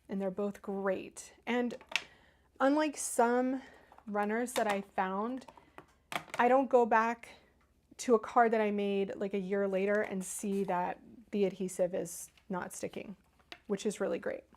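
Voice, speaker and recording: A woman's voice: medium pitch, a little mellow. A middle-aged woman from Northern Kentucky with a Midwestern American accent, chatting enthusiastically as she demonstrates cardmaking on a live stream and answers viewers. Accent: Ohio-like Midwestern American. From Northern Kentucky, USA. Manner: chatty, enthusiastic, talks while working. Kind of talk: casual craft demo, reading live chat.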